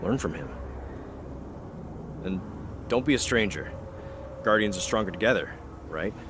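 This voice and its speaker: Low-voiced weary soldier mentor. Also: male voice, lively intonation, unhurried. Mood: earnest, mentoring. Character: weary, loyal male soldier and mentor, guilt-ridden but warm. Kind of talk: video game dramatic dialogue. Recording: game ambience and engine noise behind.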